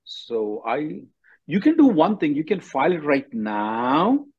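A man's voice: a little high, wildly swooping pitch, measured pace, husky. A man giving blunt, directive advice as an attorney hosting a question-and-answer call, often repeating himself. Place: conference call. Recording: dull lo-fi conference call audio.

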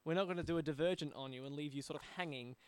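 A man's voice: a little high, racing, a little mellow, smooth. A man hosting a podcast interview in a casual, chatty style.

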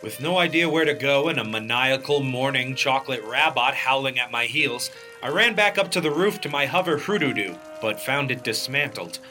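A man playing a deadpan, morally shady rabbit detective who narrates a comic noir radio play. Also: mid-range voice, normal speed, natural voice. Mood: wry narration. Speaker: narrator and hero. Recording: music behind the voice.

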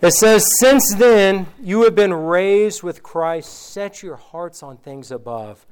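A man gives a casual sermon and reads scripture with slow, deliberate emphasis. His voice sits high for a man and sounds clean and smooth, though recent sickness has worn it down. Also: animated, darkish tone. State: recovering from illness, voice going. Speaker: preacher. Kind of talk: informal sermon with scripture reading.

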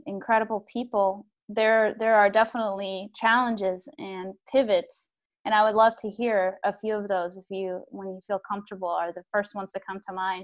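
A woman hosting a podcast, talking in a lively, chatty way and laughing often. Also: medium pitch, rough recording. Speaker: podcast host. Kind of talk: casual podcast conversation.

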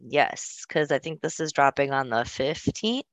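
A woman with a low voice that swings widely in pitch, co-hosting a relaxed podcast chat. She is animated, laughs easily and swears casually. The sound is dry and close to the mic. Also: a little slow, no room sound. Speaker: podcast co-host.

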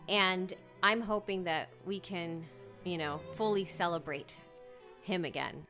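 A woman answers questions in a conversational interview. Her voice is slightly low for a woman, and she sounds earnest and reflective, working out thoughts as she talks. Music is faintly audible behind her. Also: unhurried, bright, forceful tone. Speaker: violinist, interview guest.

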